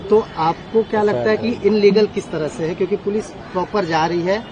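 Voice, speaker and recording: A man's voice: high voice, fairly even intonation, moderate pace. A man working as a news reporter, putting questions at an outdoor press scrum. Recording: busy outdoor press scrum, chattering crowd.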